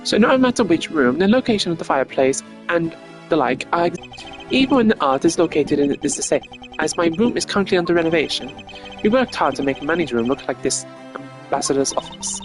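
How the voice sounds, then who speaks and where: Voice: mid-range voice, expressive, fairly quick, soft background music, close to an everyday voice. A performer voicing a courteous, earnest male ambassador who is easily flustered, in a video game. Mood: helpful. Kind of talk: video game character voice-over.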